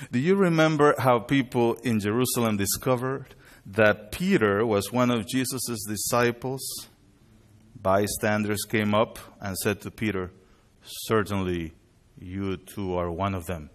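Slow, measured preacher. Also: male voice, on the low side, a bit bright. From Chile and Panama. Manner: measured, deliberate delivery with bilingual openings. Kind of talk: sermon at a worship conference. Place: indoor hall with a screen. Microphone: lectern mic, far camera.

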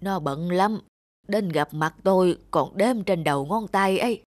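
A man's voice: sits high, a little slow, dry recording, clean studio sound. A man voicing a frail old widowed father in a cartoon, rambling along in a wistful, nostalgic way. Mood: resigned. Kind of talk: cartoon voice acting.

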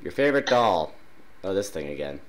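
A man's voice: low-pitched, fairly quick, background noise. A young man with a sinus infection and sore throat, casually commenting on a game and reading its dialogue aloud. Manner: clears throat often, reads dialogue in a flat voice. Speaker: gaming YouTuber. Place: small dark room at home. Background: loud game music.

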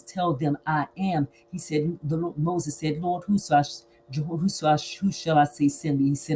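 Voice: medium pitch, dark tone, a little hiss or hum, poor audio. A preacher delivering an emphatic sermon, repeating phrases and asking rhetorical questions then answering them.

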